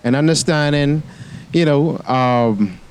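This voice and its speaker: A man with a Bahamian accent mixed with American, hosting a podcast and asking loose, relaxed questions. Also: on the high side, takes it slowly, smooth. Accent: Bahamian with American mix. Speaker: podcast host. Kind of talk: casual interview talk.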